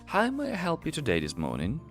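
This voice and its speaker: A posh, overly polite British gentleman, put on by a man in a raised, plummy voice. The delivery is exaggerated and prim. Faint music plays behind. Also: on the high side, wildly swooping pitch, fairly quick, voice pushed up. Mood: mock-posh.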